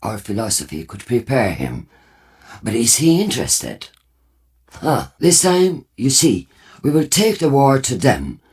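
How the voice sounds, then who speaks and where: An actor voicing a solemn, prophetic war cult leader in a video game, speaking formally and deliberately with a husky voice. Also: male voice, medium pitch, wildly swooping pitch, darkish tone, heavily acted. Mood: resolute. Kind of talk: scripted video game character dialogue.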